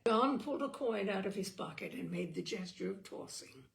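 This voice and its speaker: A woman reading a literary story aloud as narrator, expressively, with theatrical, deliberate phrasing and dramatic pauses. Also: medium pitch, animated, gravelly. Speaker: audiobook reader and storyteller.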